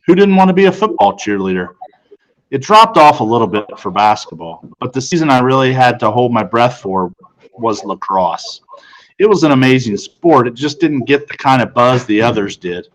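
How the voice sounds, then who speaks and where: A man's voice: medium pitch, expressive, moderate pace, poor audio. A man reading a first-person storybook narration aloud. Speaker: audiobook reader.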